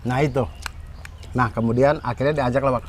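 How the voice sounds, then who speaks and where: A man's voice: medium pitch, steady tone, a little fast, a little hiss or hum. A man hosting a casual chat, asking curious questions and throwing in teasing jokes.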